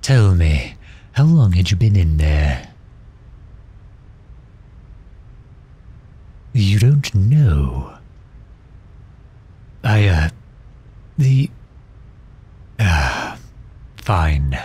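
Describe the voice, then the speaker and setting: A man playing a commanding, protective villain in a roleplay audio drama, formal and stern, with a husky, breathy voice. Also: low voice, very animated, warm tone, full character voice. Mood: stern, exasperated. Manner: formal, stern, halting when flustered. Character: protective, commanding male villain fretting over injured hero. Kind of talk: scripted roleplay audio drama in ASMR style.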